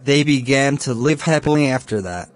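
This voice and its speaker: A man playing a kind, helpful young man in a children's cartoon, speaking in simple, measured scripted lines. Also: mid-range voice, expressive, close to an everyday voice. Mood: earnest storytelling.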